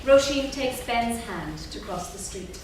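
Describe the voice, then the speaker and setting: A woman's voice: fairly high pitch, animated, measured pace. A woman reading stage directions as scripted narration at a staged theatre reading. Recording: distant, very echoey hall, clear noise.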